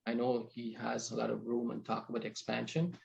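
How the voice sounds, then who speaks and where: A man hosting an interview show, speaking in a sincere but halting way and often repeating words. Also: mid-range voice, monotone, gravelly, darkish tone, poor audio. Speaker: podcast host. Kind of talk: casual interview, introducing a guest.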